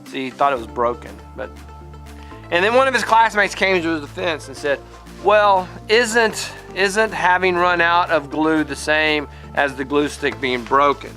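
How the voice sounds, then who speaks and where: A middle-aged man, a teacher, talking in a casual vlog monologue, halting and repeating words as he goes, livelier when he tells a story. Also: on the high side, bright, forceful tone, faint music behind. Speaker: vlogger, teacher.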